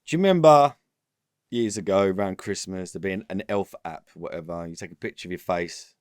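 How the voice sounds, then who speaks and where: A low-voiced man talks business on a podcast, animated and rambling, often restarting his sentences. The sound is close and dry, with no room around it. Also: fairly quick, no room sound. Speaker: podcast guest, company executive. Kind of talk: casual business interview.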